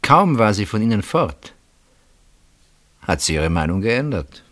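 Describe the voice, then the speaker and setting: An actor in a German radio play voicing a coolly ironic, probing husband with measured, drawn-out phrasing. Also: male voice, fairly low pitch, big pitch swings, a bit bright. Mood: dry irony.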